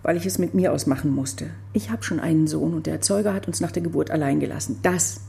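A woman's voice: deep voice, fast talker, vocal fry, warm tone. An actress playing a hurt, reproachful yet resolute woman in a radio drama, speaking in a subdued, terse way. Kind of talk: naturalistic radio drama acting.

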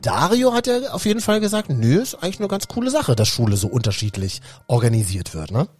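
A man's voice: medium pitch, wildly swooping pitch, quick pace, darkish tone, slightly rough. A man from Berlin hosting a casual youth talk show, speaking colloquially and addressing viewers directly. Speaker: host.

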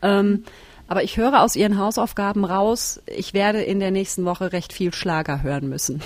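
A woman's voice: mid-range voice, normal speed. A woman, a radio journalist, talking through her music challenge in a chatty, frank and self-mocking way. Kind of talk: casual first-person reportage.

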